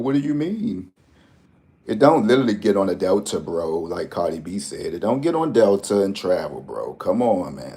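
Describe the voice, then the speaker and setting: Low mellow-voiced man rambling with DC slang. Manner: animated, joking, slangy, rambling asides. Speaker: lottery tips host. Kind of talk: casual rambling vlog talk.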